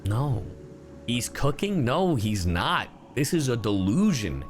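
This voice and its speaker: A man's voice: mid-range voice, big pitch swings, slow. A man doing casual reaction commentary on a game story, with blunt, slangy interjections. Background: game music and dialogue.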